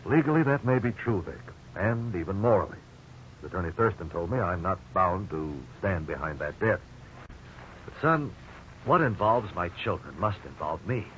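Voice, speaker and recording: An actor playing a troubled, conscientious middle-aged father, gentle and earnest, in a 1950s radio drama. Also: low-pitched, animated, moderate pace. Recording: dull, hissy 1950s radio recording.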